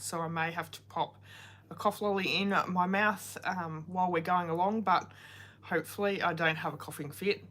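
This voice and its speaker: A woman in her forties chats casually on a craft livestream, greeting viewers by name and wandering into rambling asides. Her voice sits a little low, and a cold has left her throat slightly sore. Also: fairly bright tone. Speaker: card-making livestream host.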